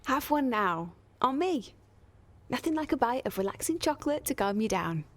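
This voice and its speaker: Sardonic young woman, high-pitched and very animated, radio drama. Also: normal speed. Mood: defensive, sarcastic. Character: sarcastic, quick-witted young woman shop worker. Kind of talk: radio soap acting.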